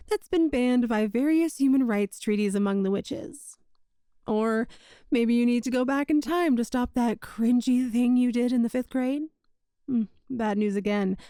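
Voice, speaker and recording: A woman's voice: a little high, mellow, no room sound, studio quality. A woman playing a sweet, possessive young witch shopkeeper in an ASMR roleplay, with a coy, doting, teasing manner. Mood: playful, teasing. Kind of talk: ASMR romantic roleplay audio.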